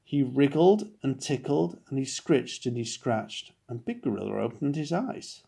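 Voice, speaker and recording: A man narrating a children's picture book as a dad reading aloud, with expressive, deliberate phrasing. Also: mid-range voice, gravelly, breathy edge.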